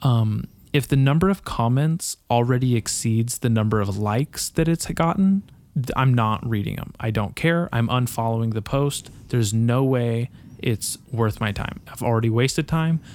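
A man's voice: medium pitch, darkish tone. A man co-hosting a podcast, teasing and swearing freely in casual conversation. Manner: teasing, profane, quick asides. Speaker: podcast co-host.

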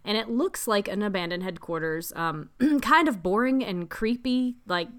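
A woman's voice: mid-range voice, lively intonation, normal speed, fairly bright tone. A woman in her thirties co-hosting a podcast in casual chat. She sometimes reads her research notes aloud. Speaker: podcast co-host.

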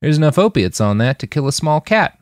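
A man's voice: fairly high pitch, very animated, a little fast, dry recording, clean studio sound, pitched above the performer's own voice. A man voicing a laid-back, wry cyborg soldier of few words in an audiobook. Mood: deadpan.

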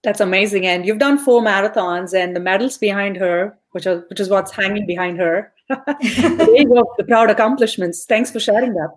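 A woman's voice: mid-range voice, normal speed, a little hiss or hum. A young woman hosting a conversational podcast interview. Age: young adult. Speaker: podcast host.

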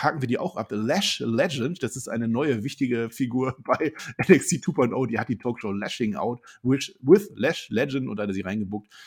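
Husky-voiced man rambling through a rundown. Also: mid-range voice. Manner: rambling roster rundown with dry jokes. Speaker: podcast co-host. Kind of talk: casual wrestling chat.

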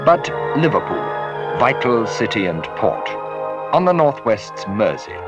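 A man's voice: fairly low pitch, very animated, unhurried. A man narrating formally in the style of an archive broadcast. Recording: dull lo-fi archive broadcast, music behind.

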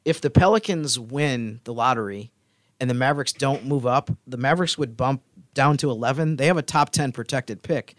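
A man co-hosting a casual sports podcast, explaining his points in a measured way. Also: medium pitch, dry recording. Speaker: team writer, podcast co-host.

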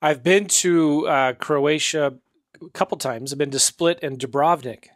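A man's voice: a little high, measured pace, dry recording, studio quality. A man hosting a podcast interview, upbeat and chatty, laughing easily. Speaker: podcast host.

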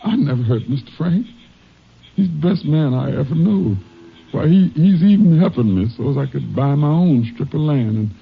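A very raspy, creaky old Southern farmhand, humble and wounded, speaks haltingly with swooping inflection. It is old radio drama with a dull sound. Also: male voice, fairly high pitch, lively intonation, exaggerated voice. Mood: hurt, bewildered. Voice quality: husky, gravelly. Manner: halting, deferential. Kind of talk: 1950s radio drama acting.

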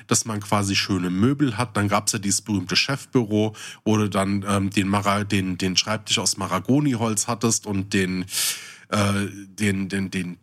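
A man chatting casually as the co-host of a podcast. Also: on the low side. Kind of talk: casual chat.

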